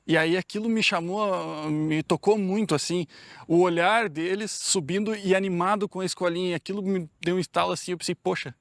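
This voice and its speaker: Guy w a high gravelly voice, teacher telling a story, reflective, dry room. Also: steady tone, brisk, a bit bright. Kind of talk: interview anecdote.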